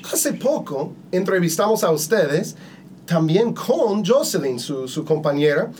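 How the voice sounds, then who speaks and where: A man hosting a conversational podcast interview, speaking haltingly and often repeating his words. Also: high-pitched, very animated, darkish tone, a little hiss or hum.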